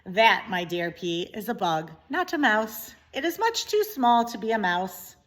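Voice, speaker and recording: A woman's voice: a little high, very animated, unhurried. Woman voicing a pompous, stubborn storybook king. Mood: dismissive. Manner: pompous, emphatic. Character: pompous, stubborn nearsighted king, doting father. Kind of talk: children's storybook character voice.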